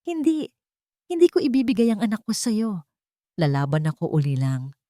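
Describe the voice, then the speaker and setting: A woman's voice: mid-range voice, sing-song, normal speed, dark tone, no room sound, clean studio sound, voice pushed up, close to an everyday voice. A woman with a Filipino accent voicing a frightened but defiant young mother, pleading yet firm, in a narrated story. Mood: afraid, defiant.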